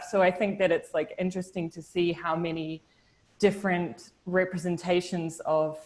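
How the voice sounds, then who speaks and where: A woman's voice: on the low side, a little mellow. Hesitant young woman on a video call. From New Zealand. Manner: thoughtful, hesitant, many restarts and fillers. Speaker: discussion participant. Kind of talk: casual video-call discussion.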